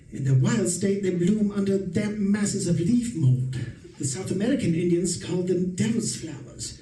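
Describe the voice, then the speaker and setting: A man's voice: high voice, warm tone, natural voice. A man playing a mild, put-upon middle-aged professor and husband in an old-time radio suspense play. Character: meek, henpecked middle-aged professor husband, quietly resentful. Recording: roomy, distant mic.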